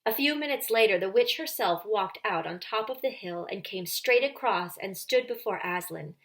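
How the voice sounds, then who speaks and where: A woman storyteller with a mid-pitched voice narrates a children's book. Her reading is expressive, with deliberate and dramatic phrasing.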